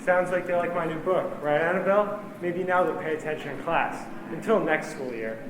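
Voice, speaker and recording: A man playing a smug teacher in a horror sketch, talking in a lively way. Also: on the high side, brisk, background noise, lightly acted. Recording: echoey room, distant mic.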